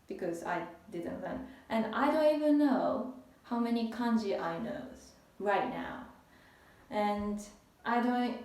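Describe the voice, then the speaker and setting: A young adult woman from Japan, speaking English with a Japanese accent, giving a casual, halting language lesson as a tutor. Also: mid-range voice, darkish tone, recorded from a distance. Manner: unhurried, halting, restarts phrases. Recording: echoey room, voice off-mic.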